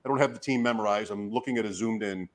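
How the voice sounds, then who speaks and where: Hoarse man thinking aloud. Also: lowish voice, fast. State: hoarse from talking. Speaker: fantasy football show host giving draft advice. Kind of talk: casual talk, live draft commentary.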